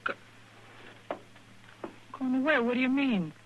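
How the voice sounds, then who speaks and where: A woman's voice: high voice, lively intonation, takes it slowly, muffled recording. An actress playing a hurt, bitter young frontier woman in a 1950s radio western. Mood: alarmed. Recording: old radio recording with faint noise.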